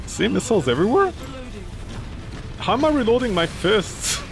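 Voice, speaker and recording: High-voiced rambling gamer man over loud music. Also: very animated, normal speed, vocal fry. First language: not English; grew up with French, Italian. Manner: rambling, swearing, jumps between loud outbursts and low mumbles. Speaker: gaming streamer. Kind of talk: casual let's-play commentary. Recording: game music loud behind.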